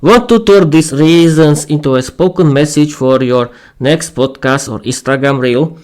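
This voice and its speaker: A man's voice: on the high side, a little slow, darkish tone. A man hosting an English-learning podcast, reading a written text aloud on a livestream with casual asides, stumbling and repeating words as he goes. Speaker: podcast host and English teacher.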